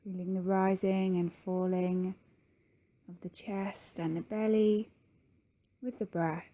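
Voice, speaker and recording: Female meditation guide, very unhurried, warm relaxed tone, soft delivery with long pauses. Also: medium pitch. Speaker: yoga nidra guide.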